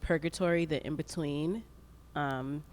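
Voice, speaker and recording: A young woman from Saint Lucia with a smooth, clear voice gives a relaxed self-introduction on a gallery panel. She talks conversationally and drops in the odd um. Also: sits low, a little slow. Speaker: artist on a panel. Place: art gallery.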